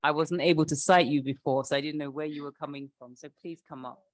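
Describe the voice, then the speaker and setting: A woman moderating a formal event, making introductions in a polite, somewhat halting way. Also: low-pitched, fairly even intonation, dry recording.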